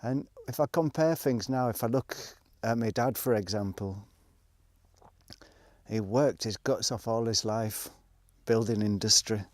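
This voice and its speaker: A man's voice: on the low side, unhurried, darkish tone, no echo. A man, casually recounting his travels in a rambling way, with plenty of fillers and restarts. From England. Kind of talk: casual spoken storytelling.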